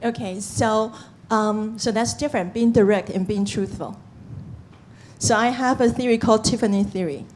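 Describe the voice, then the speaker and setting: Laughing teacherly woman with higher voice. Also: normal speed, darkish tone, a little hiss or hum. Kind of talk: coaching comments on a panel.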